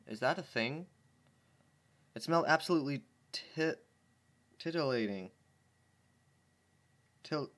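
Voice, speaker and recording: A man's voice: on the low side, creaky, a bit bright. Wry young-sounding man reading fanfiction aloud. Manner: wry, stumbling, breaks off into asides. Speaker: fanfiction reader. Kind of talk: casual dramatic reading with commentary.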